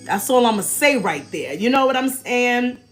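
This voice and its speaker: An American woman in her forties hosting a casual, chatty livestream with animated, emphatic delivery and informal slang. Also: a little high, normal speed. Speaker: livestream host. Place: small indoor room, backdrop behind. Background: faint music.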